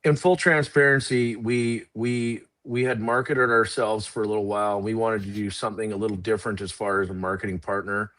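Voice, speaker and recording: A man answering interview questions at a measured pace, pausing with frequent fillers. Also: lowish voice, fairly even intonation, a bit bright, dry recording. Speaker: guest, series sponsor.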